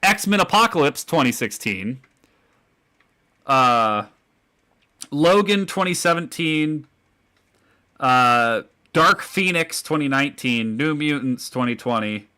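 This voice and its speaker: A man in his twenties with a relatively deep voice, hosting a casual chat podcast and teasing his co-hosts with frequent laughter. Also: expressive, slow, long pauses, sharp tone, clear, resonant tone. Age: 20s. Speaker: podcast host.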